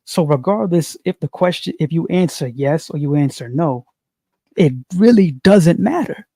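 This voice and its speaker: Man, spiritual monologue; unhurried, repetitive, sermon-like; warm tone, dry room. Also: a little high, expressive, no room sound. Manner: slow, preachy, repeats phrases, frequent "you see". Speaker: spiritual commentator. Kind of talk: unscripted monologue on philosophy.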